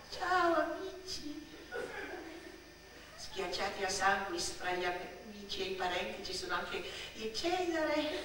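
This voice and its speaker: A woman's voice: mid-range voice, noisy background. An actress playing a cheeky, indignant little schoolgirl in a theatrical monologue, with exclamatory, dramatic delivery and mocking mimicry. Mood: wry. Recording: echoey theatre hall, distant microphone.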